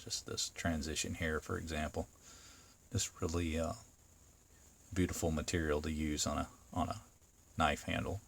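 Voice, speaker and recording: A man's voice: very deep voice, level tone, normal speed, gravelly, pauses often, a little hiss or hum. A man giving a casual, unscripted product review as a video host, talking in an easygoing, meandering, hesitant way.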